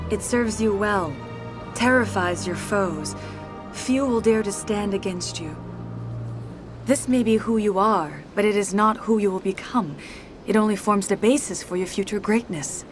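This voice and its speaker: A serene mystical elder woman, voiced by an actress, speaking calmly and formally. Her speech is solemn and unhurried. Game music plays behind her. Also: medium pitch, natural voice.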